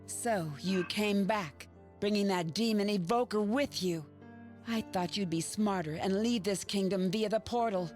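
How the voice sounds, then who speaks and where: A woman's voice: medium pitch, a little slow, a little mellow, natural voice. An actress playing a defiant young woman who guards a secret ceremony, in a game cutscene with faint music behind. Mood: taunting. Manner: cold and taunting. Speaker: game character.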